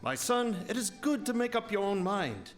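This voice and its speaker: A man's voice: high-pitched, big pitch swings, normal speed, soft background music. An actor playing a worried father earnestly advising his son in an audio folktale. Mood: pleading, earnest. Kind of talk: audio drama folktale acting.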